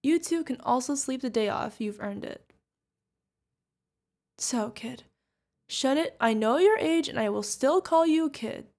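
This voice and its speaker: A woman's voice: high voice, expressive, a little slow, pauses often, darkish tone. A woman playing a protective older witch mentor, fond, teasing and stern, in an ASMR fantasy roleplay. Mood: playful, wry. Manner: affectionate teasing, mock-exasperated. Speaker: voice actor.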